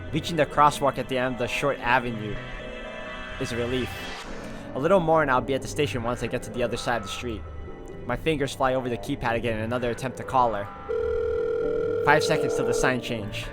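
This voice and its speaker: Guy reading a visual novel out loud, throwing in his own comments, music playing behind him. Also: mid-range voice, a little fast. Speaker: video game let's player. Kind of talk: reading visual novel narration aloud with off-the-cuff reactions. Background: game music.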